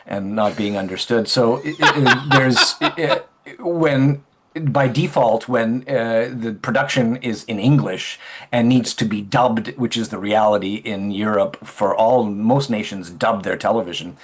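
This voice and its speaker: Man, actor guest, rambling, laughing, animated, video call. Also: on the low side, a little slow. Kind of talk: casual interview chat.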